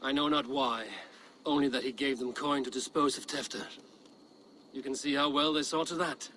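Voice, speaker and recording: A man acting as a proud Norse warrior in a game drama. The voice is forceful and clear-cut in a middle register, with stern, formal wording. Faint noise sits behind it. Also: mid-range voice, fairly even intonation, moderate pace, natural voice. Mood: grave. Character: proud, blunt Norse warrior seeking to regain honor.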